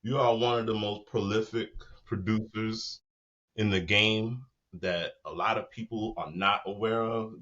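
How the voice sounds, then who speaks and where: A man with a fairly low voice hosts a casual interview. He talks in relaxed slang and readily chimes in to agree, and his audio sounds a bit dull and lo-fi. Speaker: interviewer, host.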